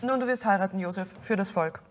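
A woman's voice: mid-range voice, fast, natural voice. An actress playing a commanding, cutting, impatient imperial mother in a historical docudrama, recorded in a reverberant, slightly noisy hall. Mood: stern, sardonic.

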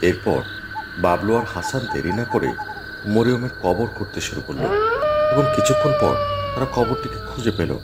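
A man's voice: lowish voice, wildly swooping pitch, normal speed, pauses often, very noisy. A man narrating a cartoon ghost story in a dramatic, suspenseful way.

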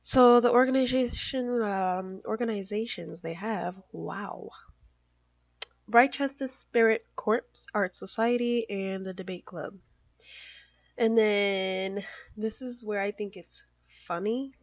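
A woman's voice: mid-range voice, slow pace, dry recording, muffled recording. A young woman, a mother, chatting casually through a let's play, filling her talk with "like" and little giggles. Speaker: gaming YouTuber.

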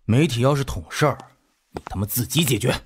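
A man's voice: medium pitch, brisk, a little hiss or hum, natural voice. A man playing an arrogant, impatient gangster boss in an audiobook, curt and contemptuous.

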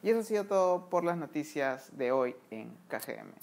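A man's voice: a little high, very animated, moderate pace, vocal fry, a little mellow, slight background noise. A middle-aged man hosting a casual design news vlog from his studio, announcing each section with a lift. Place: studio room with chalkboard wall and desks. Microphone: earphone headset mic.

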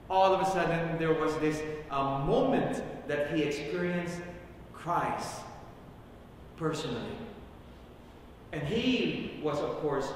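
Echoey, slow-spoken male pastor preaching. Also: on the high side. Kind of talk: sermon. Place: large church sanctuary, at the pulpit. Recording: very echoey church hall, distant mic, faint noise.

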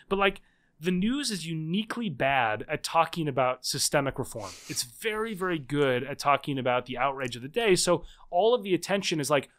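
A man in his thirties, a guest author and researcher, talking fast and animatedly with many restarts in a casual interview. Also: a little high, a bit bright. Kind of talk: casual long-form interview.

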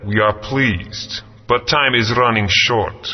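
An actor playing a grave, stern ancient spirit, speaking in a measured way. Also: male voice, mid-range voice. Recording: dull game audio with long reverb.

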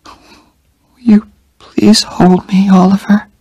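Guy putting on a dying young woman, whispery and high, slow, dry close sound. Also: gravelly, slightly rough, dry recording, lo-fi sound, exaggerated voice. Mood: pleading. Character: frail young woman, dying, tender and stubborn.

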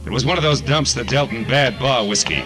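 An actor playing a tough, wisecracking private detective, terse and sardonic, in 1940s radio noir style. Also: male voice, mid-range voice, lively intonation, brisk, music in the background, natural voice. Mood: brooding, wry. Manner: terse, sardonic, hard-boiled.

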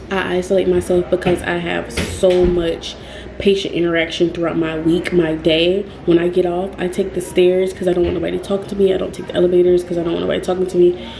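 A woman's voice: fairly low pitch, level tone, fairly quick, creaky, reverberant. A young woman vlogging in a casual, rambling way, with frequent ums and self-corrections. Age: young adult. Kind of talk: casual talk to camera.

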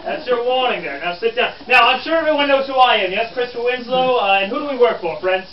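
A man's voice: very high-pitched, fast talker, a little hiss or hum, pitched above the performer's own voice. A man playing a pompous, easily exasperated nineteenth-century newspaper reporter who stammers and hectors his pupils in a live stage show. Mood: bossy, flustered. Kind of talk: living-history stage performance for an audience. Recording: roomy, distant, dull live audience recording.

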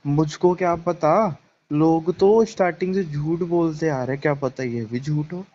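A man's voice: on the high side, measured pace, dark tone, natural voice. A young man playing a jealous, accusing ex-boyfriend in a staged phone call, insistent and repeating himself. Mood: bitter, jealous. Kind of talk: staged phone-call conversation in casual Hindi. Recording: dull lo-fi phone-call sound.

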